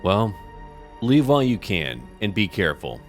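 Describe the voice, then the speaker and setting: A man hosting a roleplay gameplay video, recapping and musing aloud in a measured way as he plays. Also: fairly low pitch, big pitch swings, soft background music. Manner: measured, musing, talks to himself. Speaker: let's play host. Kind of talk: narrated roleplay gameplay commentary.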